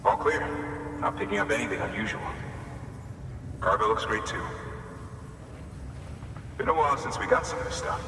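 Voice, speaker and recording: An actor playing a cautious but friendly, casually plainspoken cargo inspection worker in a video game. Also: male voice, lowish voice, expressive, normal speed, long pauses, lightly acted. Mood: businesslike, friendly. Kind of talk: video game dialogue acting. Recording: distant echoey hall, noisy machinery ambience.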